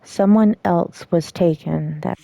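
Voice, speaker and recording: A woman giving casual gameplay commentary and reading game text aloud, with soft-spoken asides. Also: lowish voice, slow pace, vocal fry, soft background music. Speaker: streamer playing a story game.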